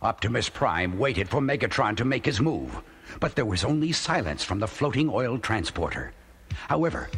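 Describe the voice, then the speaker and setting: A man's voice: a little high, a bit bright. A man giving dramatic scripted narration as the narrator of a storybook.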